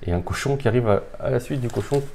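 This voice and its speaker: A man's voice: mid-range voice, fast, gravelly, warm tone. A man presenting and playing a board game in a casual, unscripted demonstration, thinking aloud hesitantly as he explains. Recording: background noise, room ambience.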